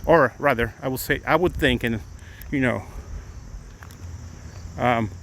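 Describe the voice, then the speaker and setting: A man's voice: medium pitch, takes it slowly, fairly bright tone. Middle-aged man with a Mexican accent, Spanish native, rambling live-stream chat outdoors, phone mic, faint noise behind. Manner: chatty, rambling, many restarts. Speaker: attorney vlogger. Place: outdoors on a morning walk.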